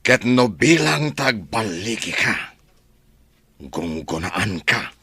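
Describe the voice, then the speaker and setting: A man playing a bitter, menacing politician in an audio drama, husky, with a slow, threatening, commanding manner. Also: fairly low pitch, big pitch swings, sharp tone, no echo, full character voice. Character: bitter, menacing male politician plotting revenge. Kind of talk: Ilocano audio drama acting.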